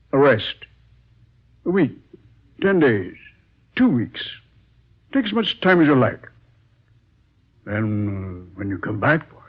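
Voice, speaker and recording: An actor playing a blunt, blustering publishing boss in a vintage radio drama, folksy and exasperated, with slangy exclamations. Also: male voice, mid-range voice, breathy edge, heavily acted. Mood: coaxing. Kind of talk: 1940s radio drama acting. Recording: dull, muffled vintage radio recording.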